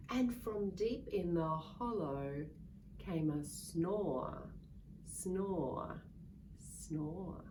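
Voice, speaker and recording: A woman reading a picture book aloud to young children in a playful, expressive way, drawing out words and acting out sounds. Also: medium pitch. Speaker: children's story reader. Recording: distant mic with faint background noise.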